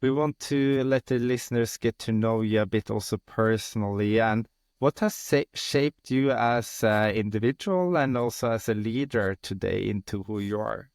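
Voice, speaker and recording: A man's voice: on the low side, moderate pace, darkish tone, clean tone, no echo, studio quality. A man hosting a podcast, introducing his guest and opening an interview in conversational speech. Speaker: podcast host.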